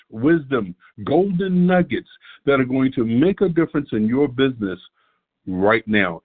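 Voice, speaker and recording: A man hosting a weekly conference call, introducing a guest in an upbeat, promotional way. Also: mid-range voice. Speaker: host. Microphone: telephone. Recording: tinny phone line.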